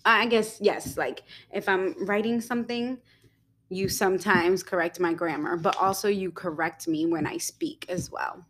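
A young woman co-hosting a casual podcast, talking in a rambling way with many fillers and quick giggles. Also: fairly low pitch, fairly even intonation. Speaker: podcast co-host.

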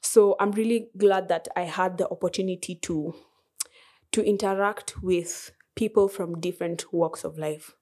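Woman in her twenties, Kenyan accent, podcast guest, gentle hesitant chat, somewhat low voice. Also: steady tone, normal speed. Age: 20s. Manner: soft-spoken, laughs often, many hesitations. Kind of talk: casual interview chat.

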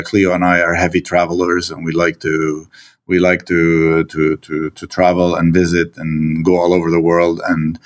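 Low-voiced Lebanese man, halting speech. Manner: hesitant, many fillers and restarts. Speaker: guest, stepfather. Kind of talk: conversational interview.

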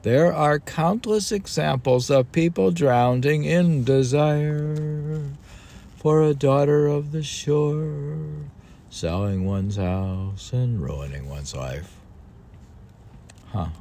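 A man's voice: on the high side, slow pace, warm tone. An older man reading a book aloud and adding casual, rambling, self-deprecating commentary of his own. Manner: rambling, musing, self-deprecating, repeats passages. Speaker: podcast host and reader.

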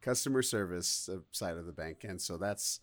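A man's voice: low-pitched, moderate pace, dry recording. A man co-hosting a podcast, chatting casually in a rambling, self-mocking way. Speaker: podcast co-host. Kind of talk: casual chat.

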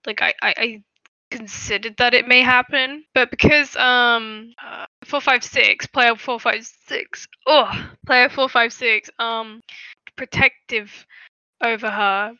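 A woman's voice: on the high side, steady tone, takes it slowly, sharp tone, smooth, no echo. A woman co-hosting a podcast, chatting casually about a TV series and stammering through her thoughts. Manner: stammering, hesitant, many fillers. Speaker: podcast co-host. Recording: dull lo-fi dry recording.

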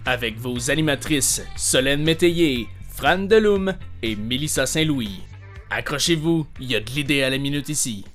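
A man reads a scripted podcast intro in a punchy, promotional tone. His voice is at a middle pitch, and soft guitar music plays underneath. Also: animated, normal speed. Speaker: intro announcer.

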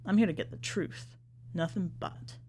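A woman's voice: lowish voice, moderate pace, vocal fry, mellow, slightly rough. A woman reading a short story aloud as a podcast narrator, with dramatic scripted delivery.

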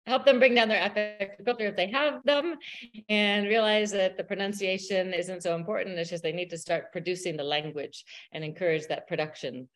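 Woman host, mid-pitched voice, agreeable, encouraging, teacher podcast. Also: fairly even intonation, fairly quick. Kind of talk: podcast conversation.

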